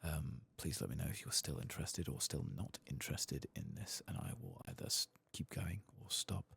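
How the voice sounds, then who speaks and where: A man giving soft-spoken, partly whispered ASMR commentary on a video game, muttering hesitantly as he thinks aloud. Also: extremely low pitch, sing-song, moderate pace, vocal fry, breathy edge. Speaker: host of a video game playthrough channel.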